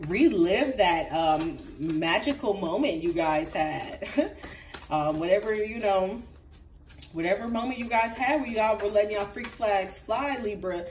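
A woman's voice: medium pitch, a little slow, distant mic, slight background noise. Congested woman chatting through a tarot reading. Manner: chatty and animated, frequent ums and laughs. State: congested from a recent flu. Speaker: tarot reader. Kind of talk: casual tarot reading to camera. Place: indoors at a table with crystals.

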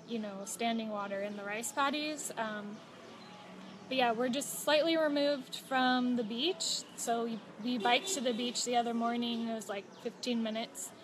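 A woman in her thirties talks into a phone held close, her voice a little higher than most. She explains things in a relaxed, easy way, with plenty of fillers. Clear outdoor noise runs behind her. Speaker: travel vlogger. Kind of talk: casual live chat. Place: outdoors at a home stay patio.

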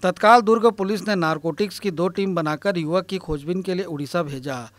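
A male reporter reading a scripted news report in a formal, even manner. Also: sits high, a little fast, no room sound. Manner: formal, even read delivery.

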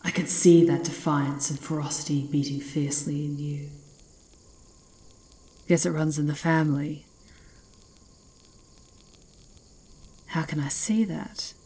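Woman playing female werewolf leader, low and dark, proud, commanding, taunting, ASMR fantasy roleplay. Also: level tone, slightly rough. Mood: appraising. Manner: slow, taunting, imperious.

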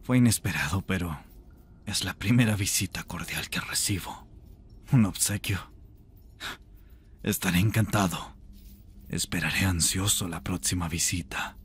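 A man voicing a chained rebel mage in an ASMR roleplay, intense, seductive and tender, with a breathy, gravelly voice. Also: sits low, a little slow, long pauses, heavily acted. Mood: warm, wry.

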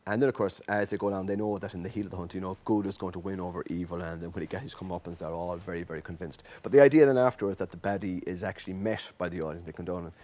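A man's voice: deep voice, fairly even intonation, racing, hardly any pauses, mellow. An Irish man in his fifties telling stories in an interview as an amateur stage actor, acting out quotes as he goes. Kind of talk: interview reminiscence. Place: empty theatre auditorium, seated. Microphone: lapel mic.

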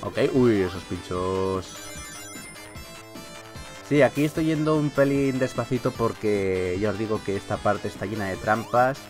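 A man's voice: lowish voice, a little slow. A man with a Peninsular Spanish accent doing casual, joking, mildly sweary Let's Play commentary as a gaming video host. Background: video game music.

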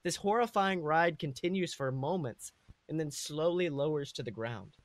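Male podcast storyteller, somewhat high pitch, dramatic comedic narration, dry close sound. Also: expressive, moderate pace, no room sound. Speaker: podcast host and story reader.

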